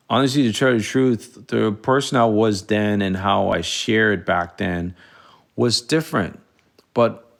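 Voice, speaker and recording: A middle-aged man from the Bahamas hosting a reflective solo podcast, talking earnestly and haltingly, with frequent restarts. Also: lowish voice, vocal fry. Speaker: podcast host.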